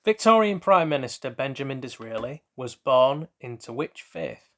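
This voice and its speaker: A man's voice: mid-range voice, very animated, moderate pace, fairly bright tone. A man hosting a casual trivia quiz, reading out questions and then rambling loosely through hesitant asides about the answers. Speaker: quiz host.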